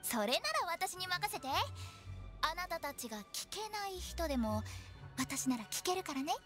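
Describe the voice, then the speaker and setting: A high-pitched, cheeky young woman swoops through teasing, theatrical lines like a mischievous undertaker selling her services. It is anime game dialogue, with music behind her. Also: expressive, moderate pace. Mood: upbeat. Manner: teasing, theatrical, sing-song salesmanship. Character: playful, cheeky young woman funeral parlor director. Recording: clean studio voice over game music.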